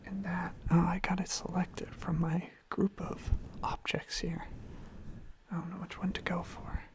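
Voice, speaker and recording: Someone doing asmr, slow breathy whisper rambling, kinda creaky, gentle and repeats stuff. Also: medium pitch, little pitch movement. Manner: gentle, soothing, repeats phrases softly. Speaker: ASMR video creator. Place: own room. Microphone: close ASMR microphone.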